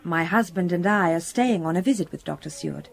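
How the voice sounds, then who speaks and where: A woman playing a kind, brave young married gentlewoman, polite and well-spoken, in an audio drama. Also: on the low side, fairly quick, gravelly. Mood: composed, firm. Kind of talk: British radio drama acting.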